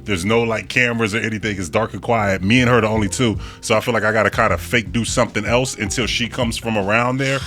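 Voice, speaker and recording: A man with a deep voice chatting casually as a podcast guest in an animated, slangy, emphatic way. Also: fast, few pauses, faint music behind. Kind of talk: casual conversation.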